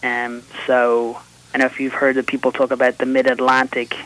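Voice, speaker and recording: A man with an Irish accent softened toward American, calling in by phone as an author and lawyer, explaining in a measured, hesitant way. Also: mid-range voice, monotone, slight background noise, poor audio. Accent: Irish, partly Americanized. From Republic of Ireland. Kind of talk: call-in radio interview. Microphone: telephone line.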